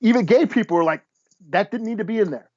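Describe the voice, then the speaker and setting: High voiced guy on a podcast, blunt n sweary, casual chat, slightly dull lo-fi mic. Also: fast, dark tone. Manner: blunt, profane. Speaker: podcast co-host.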